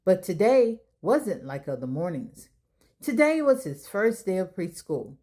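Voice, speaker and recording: A woman hosting a children's storytime, reading aloud in an expressive way as if talking straight to young listeners. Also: fairly low pitch, measured pace. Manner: expressive, addressing children directly. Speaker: storytime reader and host. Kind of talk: children's read-aloud narration.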